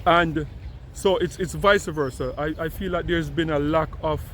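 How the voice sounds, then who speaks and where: A man's voice: fairly high pitch, fairly even intonation, moderate pace, a bit bright, slight background noise. A man from Jamaica, giving casual commentary and often repeating words as he starts sentences. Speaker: commentator responding to a video.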